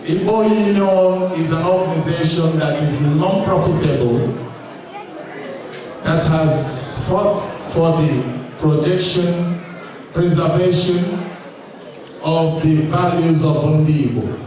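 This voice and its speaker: A man giving a formal welcome address at a community gala, in slow, measured phrases with long pauses. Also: a little high. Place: large hall at a community gala. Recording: echoey hall, distant mic, crowd chatter.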